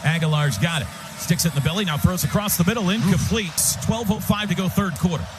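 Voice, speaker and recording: A man doing live radio play-by-play of college football, calling action in short, urgent, excitable phrases that swell into shouts. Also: high voice, quick pace, background noise.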